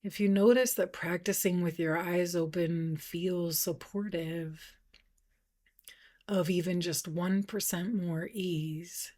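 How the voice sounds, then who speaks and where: A woman meditation teacher leading a guided practice, speaking gently and softly with unhurried pauses, at times breathy. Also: fairly low pitch, steady tone.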